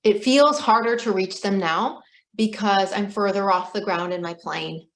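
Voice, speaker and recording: A woman life coach speaking slowly and softly on a video call, reflecting back what she hears. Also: medium pitch. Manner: slow, soft-spoken, reflective. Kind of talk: gentle coaching session. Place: home room on a video call.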